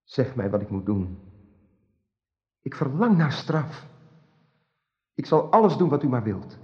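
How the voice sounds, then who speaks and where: A tormented, pious knight in a radio play. His medium-pitched voice is earnest and formal, with fervour. The recording lacks some clarity at the top. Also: big pitch swings, unhurried, dull audio. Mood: penitent. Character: anguished, devout knight burdened by guilt. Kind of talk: radio drama acting.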